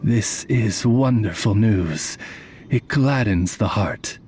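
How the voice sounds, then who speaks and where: A man voicing a formal, calm male raven familiar in a video game, speaking in courtly phrasing. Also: lowish voice, slow pace, a little hiss or hum. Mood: measured. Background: soft game music.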